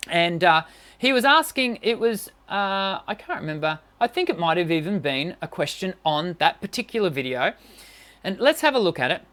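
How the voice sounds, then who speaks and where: A woman's voice: on the low side, sing-song, normal speed, fairly bright tone. A woman voice teacher talking through a live-stream singing lesson, chatty, with many fillers and restarts. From Western country.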